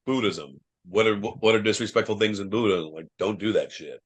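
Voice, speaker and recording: A young man from Ohio, a wrestler guesting on a podcast, telling loose, profane travel stories full of fillers, with a loud voice. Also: on the low side, a little fast, slightly rough. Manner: says he has a loud voice. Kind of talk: casual podcast chat.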